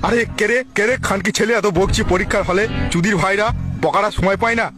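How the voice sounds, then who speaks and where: A man's voice: high-pitched, steady tone, quick pace, music in the background, natural voice. A man voicing a foul-mouthed, irritable cartoon bully in a crude comic parody dub, ranting with a Bengali accent. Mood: angry, yelling. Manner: ranting, heavy swearing.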